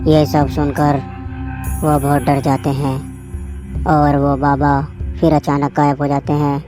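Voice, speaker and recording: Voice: mid-range voice, completely flat delivery, slow, over music. A narrator reading a scripted horror story.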